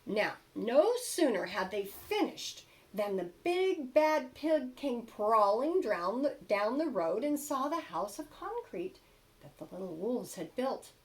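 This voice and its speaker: Female teacher-type storyteller: unhurried, highly animated read-aloud for children. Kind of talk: expressive children's storybook narration.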